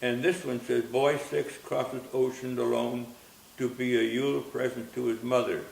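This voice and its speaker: A man in his nineties who grew up in Austria tells his story in a gentle, halting way, going back over his words. His voice is heavily gravelly with fry. The room rings with echo, and a faint hiss sits under the recording. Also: medium pitch, level tone. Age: 90s. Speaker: interviewee. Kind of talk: reminiscing interview.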